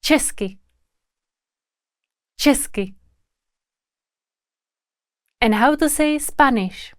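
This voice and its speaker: A woman teaching a scripted language lesson, repeating each word slowly with pauses. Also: high-pitched, dry recording. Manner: repeats each word slowly and deliberately, pausing between repetitions.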